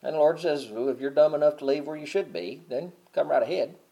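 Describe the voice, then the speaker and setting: A middle-aged man preaching a sermon, talking casually and then swelling loud and high on key points. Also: a little fast, gravelly. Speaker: pastor.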